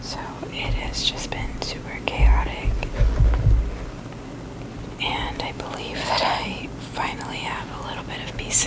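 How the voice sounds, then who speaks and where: A woman whispering in an informal, halting ASMR style as she hosts a review video. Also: rough recording. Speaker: video host reviewing sneakers.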